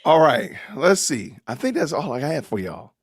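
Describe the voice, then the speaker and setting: A man hosts a casual live-stream podcast. His voice sits a bit high and dry, recorded close with no room sound. He builds into animated, preachy rants with plenty of emphasis. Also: normal speed, husky, no room sound. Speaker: podcast host.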